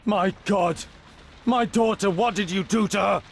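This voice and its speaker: Casting: British stern, frantic detective father for a dramatic game, highish pitch, hugely varied, loud score behind. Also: measured pace, gravelly, faint music behind. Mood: desperate, furious. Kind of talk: dramatic video game acting.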